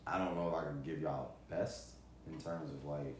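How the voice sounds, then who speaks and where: A man's voice: extremely low pitch, steady tone, normal speed. An American man co-hosting a podcast, chatting and telling stories in an informal, slangy way. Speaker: podcast co-host. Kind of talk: casual chat and storytelling. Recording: very echoey room, faint noise.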